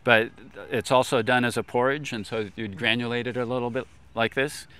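An older man explaining things informally in a measured way, as an expert guest. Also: mid-range voice, fairly even intonation, sharp tone, clean tone. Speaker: expert guest, agricultural scientist. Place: outdoors on a lawn beside a food truck.

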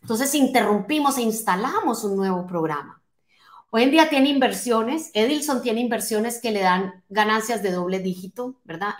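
A woman in her late forties speaks as a motivational coach to an online audience. Her voice sits at a middle pitch and she is emphatic and direct, often checking in with short questions. The recording is echoey, made at a desk in a small home office. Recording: roomy, echoing indoor sound.

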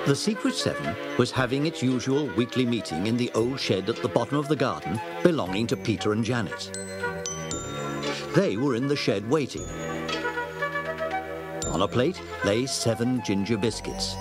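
A man narrating a children's story in a clear, storytelling manner. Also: mid-range voice, expressive, normal speed, loud music behind the voice.

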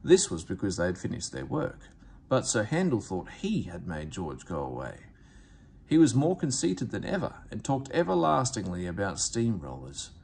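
Expressive man reading a children's story. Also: lowish voice, normal speed, gravelly, a little hiss or hum. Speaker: storybook reader. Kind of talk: read-aloud children's story narration. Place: indoors at home, book on camera.

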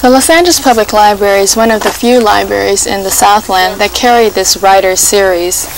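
A woman's voice: mid-range voice, a little fast. A woman in her thirties explaining as a librarian, recorded in a library for 1980s television. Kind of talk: informational explanation. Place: busy library room. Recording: slightly noisy 1980s TV location sound.

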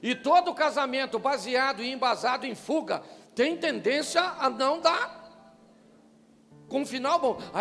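Older Brazilian man preaching, high gravelly voice, long hall echo, faint music behind. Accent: Brazilian Portuguese. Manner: joking, animated, asks the crowd questions. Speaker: pastor. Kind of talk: humorous marriage talk to couples. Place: church stage, large hall. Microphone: handheld microphone.

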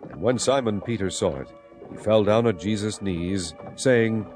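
A man narrating dramatized scripture as a solemn, measured storyteller. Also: fairly low pitch, a little mellow. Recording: clear music bed behind narration. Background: music.

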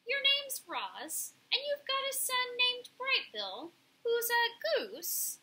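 A woman's voice: very high-pitched, huge pitch swings, takes it slowly, pushed and bright, pitched above the performer's own voice, full character voice. A woman voicing a bold, streetwise female pigeon who leads her flock, talking in an emphatic, colloquial, bossy way in a children's storybook. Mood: incredulous.

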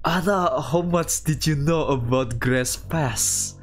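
A streamer giving casual, halting commentary while playing a visual novel and reading it aloud. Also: medium pitch. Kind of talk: casual game commentary mixed with read-aloud dialogue. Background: guitar music from the game.

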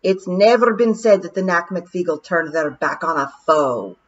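A woman voicing a brash, tiny male pixie warrior leader with a Scottish accent, loud and boisterous. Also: medium pitch, sing-song, measured pace, pitched above the performer's own voice. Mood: bluff. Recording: dull lo-fi audiobook recording.

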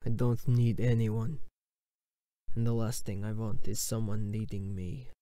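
A man's voice: fairly low pitch, flat delivery, measured pace, warm tone, no echo, clean studio sound, natural voice. A man voicing a grim, weary older loner, a fantasy monster hunter, in a subdued, brooding manner. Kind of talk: fantasy character voice demo.

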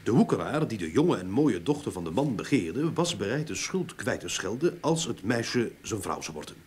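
Male radio drama role: enthusiastic explainer-detective, raspy-edged tone, very varied intonation, didactic. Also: lowish voice, very animated, normal speed, darkish tone. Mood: storytelling. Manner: eager, didactic, repeats words for emphasis. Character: talkative, enthusiastic male sleuth explaining his theories. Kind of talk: Dutch radio drama acting.

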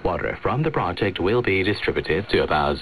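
A man's voice: low voice, sing-song, fairly quick, a bit bright, a little hiss or hum. A man reading scripted news as a radio presenter on a shortwave broadcast. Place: radio studio, heard over shortwave.

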